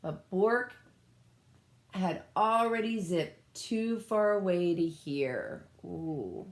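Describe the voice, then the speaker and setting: A woman reading a picture book aloud as a teacher, pausing to explain and put questions to young children. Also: lowish voice, animated. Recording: echoey room sound.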